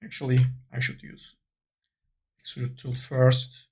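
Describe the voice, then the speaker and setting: Male tutorial narrator, slow and gravelly, unscripted, thinking aloud step by step. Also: mid-range voice, monotone. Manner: tentative, thinking aloud while working. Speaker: 3D modeling tutorial instructor.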